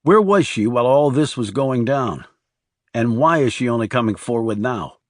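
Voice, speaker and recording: A man's voice: medium pitch, lively intonation, normal speed, no room sound, studio quality, lightly acted. A man voicing a guarded, blunt male assassin in terse audiobook dialogue. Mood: businesslike, skeptical. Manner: terse, questioning.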